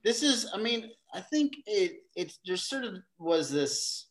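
A man being interviewed about his theater career, talking in a halting way with many restarts and repeated words. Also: high voice, sing-song. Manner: acts out quoted exchanges in a livelier voice. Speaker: theater director, podcast guest. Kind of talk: casual interview conversation.

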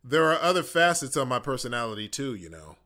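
An actor playing a sarcastic, exasperated schoolboy stuck as a butler, in a comic radio play. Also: male voice, medium pitch, very animated, quick pace, sharp tone. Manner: sarcastic, indignant, colloquial.

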